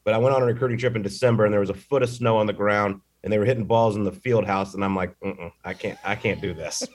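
Relaxed Texan man, thirties, low-pitched guest, casual storyteller. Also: quick pace. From Texas. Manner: easygoing storyteller with fillers. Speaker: golf broadcaster, podcast guest. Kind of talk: casual interview chat.

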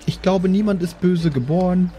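A man voicing a prim, kindly but strict lady adventurer in an improvised roleplay audio drama, genteel and gently scolding. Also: sits high, moderate pace, faint music behind, pitched above the performer's own voice, natural voice. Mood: prim, musing.

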